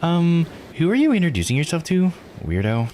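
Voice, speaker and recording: A man voicing an eager, excitable young-sounding female guide in a visual novel, informal and enthusiastic. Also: a little high, brisk, slight background noise, voice pushed up. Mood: eager, teasing.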